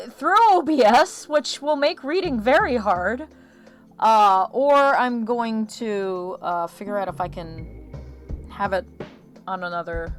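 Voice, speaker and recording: A woman hosting a livestream in casual, rambling chat that often interrupts itself, with a slight lisp. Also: a little high. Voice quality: slight lisp from a missing molar. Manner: rambling, chatty, self-interrupting. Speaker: streamer hosting an art livestream. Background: lo-fi music track.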